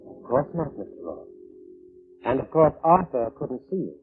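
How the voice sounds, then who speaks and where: A man acting in a 1940s radio drama as a calm inquest questioner, measured and politely insinuating. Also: medium pitch. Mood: quietly insinuating. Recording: muffled old radio broadcast, faint music.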